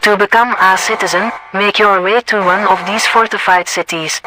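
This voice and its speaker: A man's voice: sits high, fairly even intonation, moderate pace, background noise, close to an everyday voice. A man voicing a formal broadcaster for a post-apocalyptic government, reading a scripted announcement to survivors. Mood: formal, official. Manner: formal, declarative.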